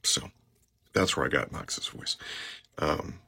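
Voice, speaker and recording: A man who narrates audiobooks, talking casually as he explains his voice acting work, with a gravelly, husky voice. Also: rumbling low, takes it slowly, pushed and bright, in a whisper.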